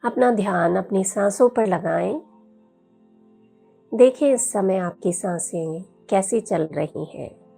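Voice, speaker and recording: Female meditation guide: very slow, soothing delivery, slightly creaky voice with a soft, breathy edge. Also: medium pitch, soft background music. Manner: soothing, gentle, unhurried with long pauses. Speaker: yoga teacher leading guided meditation.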